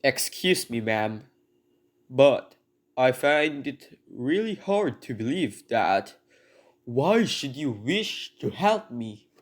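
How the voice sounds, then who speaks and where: A man's voice: mid-range voice, big pitch swings, slow pace. A man playing a desperate, penniless burglar in a radio drama, telling his story in formal, old-fashioned, pleading speech. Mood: wary, suspicious. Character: desperate, penniless burglar confessing his crime, polite but wary. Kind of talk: dramatic first-person storytelling in a radio play.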